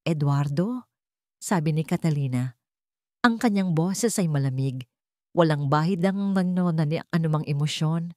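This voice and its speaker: A woman with a Filipino accent narrating a scripted story in a dramatic, deliberate storytelling manner. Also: low voice, dark tone, no echo, studio quality.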